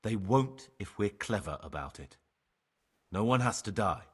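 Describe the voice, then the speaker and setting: An actor playing a remorseful ex-bandit turned novice monk in a game cutscene, husky, measured and persuasive. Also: male voice, lowish voice, expressive. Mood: persuasive, pragmatic. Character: remorseful young ex-bandit novice monk, sly pragmatic bargainer. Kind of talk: dramatic game cutscene acting.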